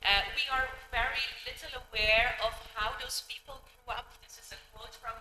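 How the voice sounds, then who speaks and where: Woman, conference lecture, measured, filler ums, echoey hall, humming background. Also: fairly high pitch, sharp tone, a little hiss or hum. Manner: measured, with frequent ums and uhs. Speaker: conference speaker. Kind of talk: academic lecture.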